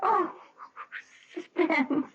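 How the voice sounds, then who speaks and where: A woman's voice: very high-pitched, extremely slow, full character voice. A middle-aged woman playing an anxious, wistful wife in a radio mystery drama, hesitant and emotional, often breaking her phrases. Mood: shaken. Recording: muffled, dull old radio recording.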